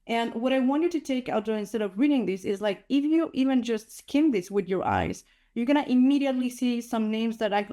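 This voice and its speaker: A woman's voice: fairly high pitch, mellow. A woman from Chile giving a conversational conference talk, full of "like" and "uh" fillers. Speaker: invited speaker.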